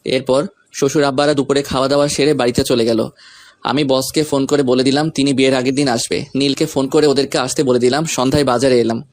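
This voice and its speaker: A man's voice: mid-range voice, steady tone, quick pace, dry recording. A man reading a scripted first-person story, narrating events and speaking the lead character's lines.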